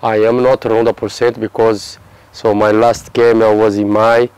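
A man in his thirties from Brazil, answering interview questions in halting English with a Brazilian Portuguese accent and many restarts. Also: fairly low pitch, flat delivery, a little mellow, clear, resonant tone, no room sound, low-quality recording. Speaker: football player. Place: outdoor terrace by a yellow building.

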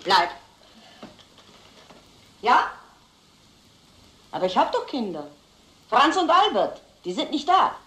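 An actress playing a domineering, suspicious wife with a sharp, demanding manner. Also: female voice, a little high, big pitch swings, slow, pauses often, full character voice. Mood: suspicious, agitated. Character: domineering, suspicious wife questioning her husband. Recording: echoey film sound.

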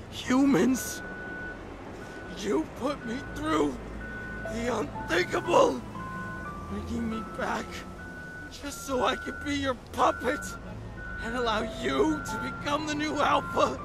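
A man's voice: very high-pitched, slow pace, long pauses, exaggerated voice, rough recording. A man voicing a wounded, wrathful golden dragon in a melodramatic comic dub. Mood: enraged. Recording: loud music over the voice.

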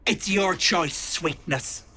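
A woman's voice: on the low side, takes it slowly, bright, forceful tone, heavily acted. A woman playing a vicious, wheedling old hag in a video game, theatrical and taunting with mock-sweet menace. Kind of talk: video game character acting.

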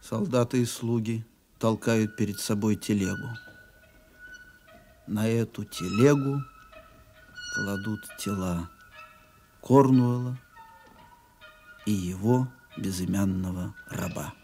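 A man narrates a radio drama from a script. His voice is lowish and dark, with faint music underneath. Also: slow, pauses often.

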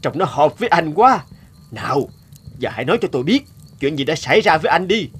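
A man's voice: fairly high pitch, very animated, fairly quick, slight background noise. A man playing a kindly, friendly travelling street performer in a fairy-tale cartoon. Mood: concerned. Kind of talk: animated fairy-tale dubbing.

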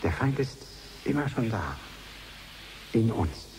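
A man's voice: medium pitch, sing-song. An actor playing a reflective, sentimental and fatalistic man in a radio play. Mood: melancholy. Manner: reflective, confiding, in short measured phrases. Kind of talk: 1980s German radio play acting. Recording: slightly hissy archive radio recording.

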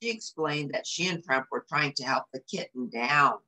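A woman's voice: low-pitched, animated, moderate pace, bright, forceful tone, low-quality recording. A middle-aged woman reading a children's story aloud, with asides to young listeners. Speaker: storybook reader. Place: small indoor room.

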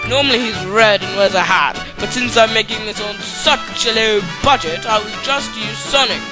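A man's voice: high voice, moderate pace, music in the background. A young adult man giving crude, sweary comic scripted narration.

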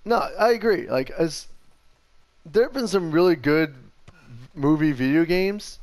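A man's voice: fairly high pitch, expressive, measured pace, a little mellow. A young man co-hosting a gaming show, chatting casually and giving emphatic opinions over gameplay.

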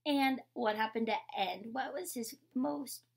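A young adult woman reading a picture book aloud like a teacher, in a measured, instructional way. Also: a little high, vocal fry, soft background music. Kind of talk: picture-book read-aloud with short explanations. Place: indoor room with painted mural wall.

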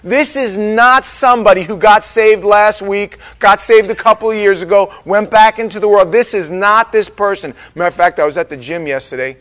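A man preaching a sermon as pastor, dropping quiet and slow in prayer and rising loud and emphatic on his warnings. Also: sits high.

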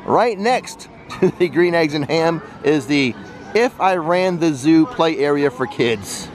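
A man narrating an unscripted walking tour as a vlogger and guide, speaking directly to viewers and pointing out sights. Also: on the high side. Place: outdoors, walking through a busy theme park. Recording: outdoor ambient noise of busy park.

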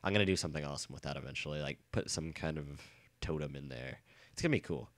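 A young man with a bad cold and a nasal, stuffy voice, chatting casually and rambling excitedly while playing a game. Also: rumbling low, fast, no room sound. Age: young adult. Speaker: let's play gamer. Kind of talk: casual gameplay commentary. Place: dorm room.

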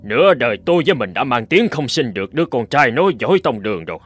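A man's voice: medium pitch, sing-song, fast talker, fairly bright tone. An actor playing a stern, stubborn middle-aged village father in a cartoon drama, commanding and emphatic as he scolds. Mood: bitter, resigned. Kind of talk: animated cartoon drama dialogue. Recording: music behind the voice.

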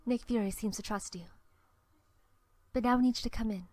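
A woman's voice: fairly high pitch, a little fast, a little mellow, no room sound, soft background music, lightly acted. A woman in a fan dub playing a cool, guarded, wry super-spy agent. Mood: calm, persuasive. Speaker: amateur voice actor in a fan dub. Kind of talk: fan dub film acting.

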